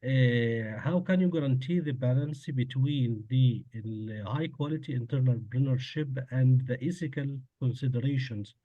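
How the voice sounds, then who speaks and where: A man, a doctor in the audience, asking the lecturer a formal, halting question in an online webinar. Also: medium pitch, no room sound. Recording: dull lo-fi dry online audio.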